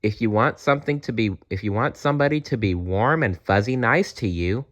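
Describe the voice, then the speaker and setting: A man voicing a gentle, wise and giggly stuffed bear in a children's storybook, with a kindly, earnest way of coaxing. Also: lowish voice, expressive, a little fast. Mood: kindly advising.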